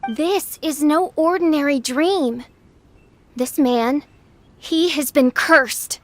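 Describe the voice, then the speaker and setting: A woman's voice: high voice, slow pace, a little hiss or hum. A woman voicing a grandiose, theatrical self-styled princess in a video game who speaks in archaic, flowery phrases. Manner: archaic, florid speech, sprinkled with German words. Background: soft game music.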